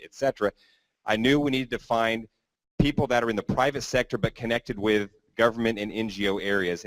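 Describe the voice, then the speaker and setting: A man's voice: fairly low pitch, no room sound, rough recording. A man hosting a business conference, introducing speakers in a loose, rambling way with some fillers. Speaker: event host.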